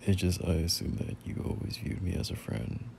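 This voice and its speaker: A young man playing a bashful, teasing friend turned boyfriend in an intimate roleplay audio, speaking in halting, murmured slang. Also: low-pitched, expressive, gravelly, background noise, exaggerated voice. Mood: stunned, unsure.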